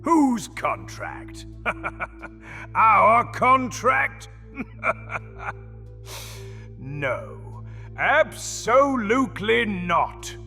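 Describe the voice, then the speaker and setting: An actor playing a sly, greedy male goblin who giggles, teases and flares into shouting, in an audio drama. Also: high voice, huge pitch swings, very slow, vocal fry, long pauses, slightly rough, full character voice. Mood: smug. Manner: giggles, teasing, turns to shouting. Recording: long reverb with music underneath.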